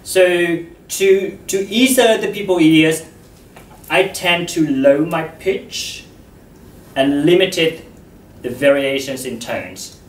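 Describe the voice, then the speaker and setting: A man giving a slow, deliberate public talk to an audience, pausing often, his first language Vietnamese. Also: fairly high pitch. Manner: slow and deliberate, with frequent pauses. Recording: roomy hall, voice from far off.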